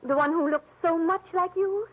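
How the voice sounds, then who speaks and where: A woman's voice: very high voice, normal speed. An actress playing an evasive, pleading married woman with dramatic delivery in a 1940s radio drama. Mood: guarded. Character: pleading, evasive, melodramatic wife of a rich man. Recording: muffled, phone-like old radio recording.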